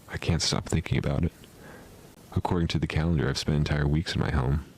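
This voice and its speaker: Very deep, obsessed horror narrator played by a man. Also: gravelly, husky, exaggerated voice, low-quality recording. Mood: obsessed, resolute. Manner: measured, formal, clinical. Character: obsessed male researcher documenting otherdimensional creatures at home. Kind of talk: scripted analog horror narration.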